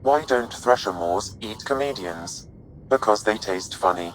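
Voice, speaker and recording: A man playing a polite, measured artificial intelligence in a video game, speaking formally, precisely and without emotion. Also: fairly low pitch, animated, slight background noise, low-quality recording. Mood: deadpan.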